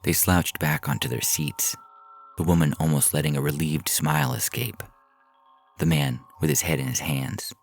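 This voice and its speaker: A man's voice: extremely low pitch, lively intonation, moderate pace, gravelly, soft background music. A man reading a science fiction story as narrator in a scripted audio fiction style.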